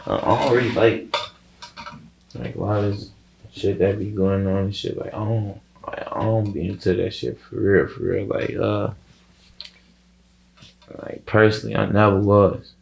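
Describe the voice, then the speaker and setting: A young man with a Chicago accent speaks in a deep register with a creaky fry. He talks casually as an interview guest, circling through his points in slangy, sweary phrases. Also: little pitch movement, normal speed, pauses often, a little hiss or hum, rough recording. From Chicago, USA. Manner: rambling, heavy slang and swearing. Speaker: rapper being interviewed.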